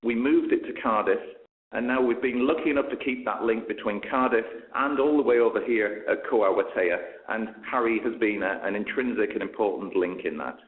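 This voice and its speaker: A man's voice: on the low side, a little fast. A man presenting informally as a speaker at an online seminar, over a web-conference line. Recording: echoey, narrow phone-like web-seminar line.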